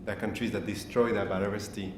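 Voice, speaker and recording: A man on a summit panel giving a measured, explanatory answer to audience questions. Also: fairly low pitch, steady tone, darkish tone. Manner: measured, explanatory, thinking aloud. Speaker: panel speaker. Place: lecture hall. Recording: echoey hall, distant mic, noisy.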